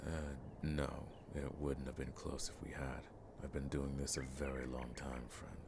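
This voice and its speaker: Man playing a very deep gravelly monster hunter. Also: flat delivery, background noise. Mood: proud, grim. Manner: measured, wry, old-fashioned turns of phrase. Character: seasoned male monster hunter, world-weary, dryly friendly. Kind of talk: fantasy audio roleplay acting to the listener.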